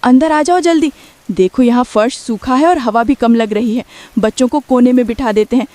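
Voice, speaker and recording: A woman acts as an anxious, protective village mother from a cartoon moral tale. Her voice sits high, and she speaks earnestly, caring for her family. The sound is dry and close. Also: moderate pace, warm tone, no room sound, close to an everyday voice. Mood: reassuring. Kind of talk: Hindi cartoon story dialogue.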